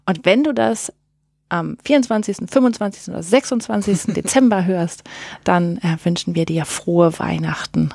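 A woman's voice: on the low side, moderate pace, gravelly, a little mellow, dry recording. A woman co-hosting a podcast, chatting cheerfully and casually with filler words. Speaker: podcast co-host.

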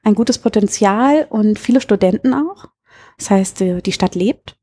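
A woman's voice: medium pitch, unhurried, warm tone, husky. A woman in her thirties from Berlin, chatting casually and openly as the guest in an interview. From Berlin, Germany. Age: 30s. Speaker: interview guest, bar owner.

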